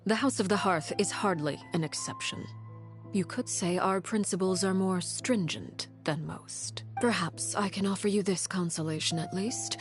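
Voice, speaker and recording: An actress playing a cold, menacing female leader of a secretive house in a video game, speaking formally and with measured poise. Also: mid-range voice, soft background music, natural voice. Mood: cold, composed. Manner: measured, formal, veiled threats.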